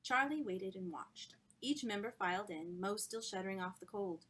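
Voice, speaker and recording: A woman author reading her own novel aloud in an expressive storytelling style. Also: medium pitch, normal speed, slightly rough.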